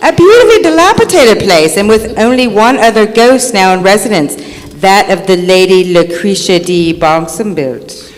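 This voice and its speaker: A woman's voice: mid-range voice, animated, moderate pace, lightly acted. A woman playing a proper, conciliatory lady presiding over a hearing in a staged radio play, speaking formally and persuasively. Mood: coaxing. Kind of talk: old-time radio drama acting on stage. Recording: echoey hall, faint noise.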